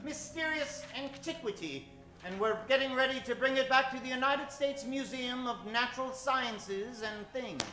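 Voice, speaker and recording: A man's voice: extremely high pitch, normal speed, bright, forceful tone. A man hosting and narrating in a mock-grand, theatrical old-time radio announcer style. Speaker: host, announcer and narrator of a live radio show. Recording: roomy live stage sound with music.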